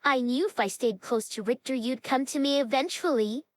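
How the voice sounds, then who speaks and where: A woman voicing a feisty cartoon character with dramatic flair, in an animated series style. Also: sits high, normal speed, no echo, lightly acted. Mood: menacing.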